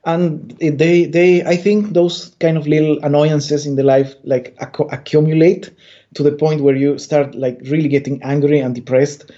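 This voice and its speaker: A man's voice: a little high, flat delivery, moderate pace, mellow. A man from Bolivia, a comic artist guest, talking in a rambling way with many restarts. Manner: rambling, stammers and restarts often. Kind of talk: casual interview chat. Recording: dull lo-fi audio.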